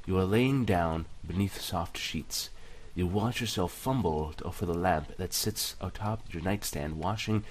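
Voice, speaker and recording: A man's voice: low voice, unhurried. A man hosting a let's play, casually reading game text aloud with some stumbles and commenting on it. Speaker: gaming video host.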